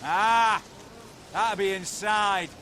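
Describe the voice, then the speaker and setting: A man playing a surly, sarcastic gang guard in a video game, rough in manner. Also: high voice, extremely slow, sharp tone, full character voice. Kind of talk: video game cutscene acting. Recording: crowd and faint noise behind.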